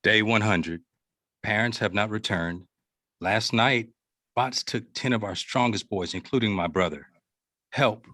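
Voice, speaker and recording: A man's voice: lowish voice, unhurried, sharp tone, dry recording, studio quality. A man playing young male characters in a table read of a science fiction screenplay. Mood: grave pleading.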